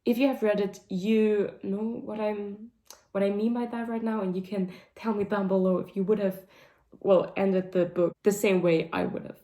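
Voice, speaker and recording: A woman with a hoarse, raspy voice talking casually through a book wrap-up, with lots of "like" and "um" fillers. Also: medium pitch, steady tone, moderate pace, warm tone. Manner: rambling, full of "like" and "um" fillers. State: hoarse, voice sounding deep and raspy. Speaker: book vlogger. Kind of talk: casual monthly reading wrap-up chat. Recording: roomy echo.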